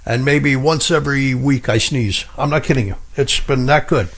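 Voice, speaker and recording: A middle-aged man hosts a health radio show in a husky, slightly raspy voice. He speaks eagerly and piles up repeated phrases to drive his points home. His pitch sits in an ordinary middle range. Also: normal speed. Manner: enthusiastic, emphatic, repeats for stress. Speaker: radio host and pharmacist. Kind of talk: radio health talk monologue.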